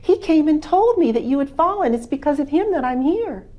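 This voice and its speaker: A woman voicing a kindly, busy older male farmer with a folksy, loud way of calling out in a told story. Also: sits high, sing-song, a little fast, highs cut off, pitched above the performer's own voice. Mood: relieved. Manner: calls out loudly, folksy.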